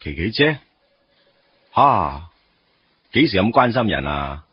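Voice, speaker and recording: A man acting a wistful, absent-minded young office worker in a radio drama, with a Hong Kong Cantonese accent and a husky voice. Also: low voice, big pitch swings, slow, highs cut off, slight background noise, heavily acted. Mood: casual, brisk.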